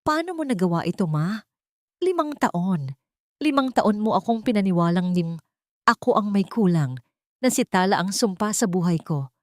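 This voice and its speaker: A woman's voice: medium pitch, lively intonation, moderate pace, darkish tone, dry recording, close to an everyday voice. Woman acting a furious, bitter rich man, dry sound. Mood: bitter anger.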